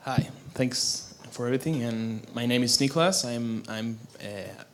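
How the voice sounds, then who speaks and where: A man from Chile, a graduate student asking a question in halting speech with repeated words. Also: medium pitch, slight background noise.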